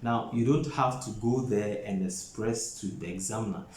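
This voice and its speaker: A man in his thirties lecturing as an exam preparation tutor, speaking deliberately and repeating phrases for emphasis. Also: fairly low pitch, darkish tone. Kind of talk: instructional lecture to camera. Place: small plain indoor office. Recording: roomy echo, faint background noise.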